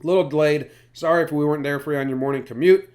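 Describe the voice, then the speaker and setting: A man's voice: on the high side, very fast, a little mellow. A man hosting a sports podcast in a casual, chatty style. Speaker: podcast host.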